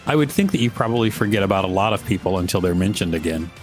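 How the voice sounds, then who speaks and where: Man, low voice, podcast co-host, article reading and casual banter, music behind. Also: lively intonation, very fast.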